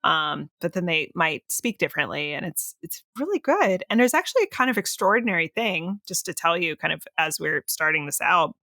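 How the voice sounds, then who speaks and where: A woman with a low, creaky voice chats casually as a podcast host. She is effusive and prone to giggling, feeling her way through her sentences. The recording is dry and close. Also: pushed and bright, dry recording. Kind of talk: casual fan podcast chat.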